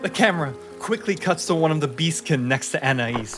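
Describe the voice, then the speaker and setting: A man narrating a tabletop fantasy game as game master, theatrical and deliberate. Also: fairly high pitch, expressive, music in the background.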